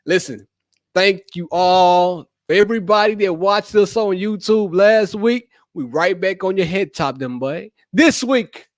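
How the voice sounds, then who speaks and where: Hyped high-voiced man hosting a podcast. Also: unhurried, dry recording, clean studio sound. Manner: playful, hyped, slangy banter. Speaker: podcast host. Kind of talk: casual chat with spouse.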